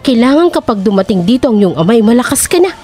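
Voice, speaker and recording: A fretful queen mother played by a woman, scolding her son with a lively, swooping voice. Faint music plays beneath her. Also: a little high, fast, slightly rough. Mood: worried. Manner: anxious, scolding. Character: worried, stern queen mother.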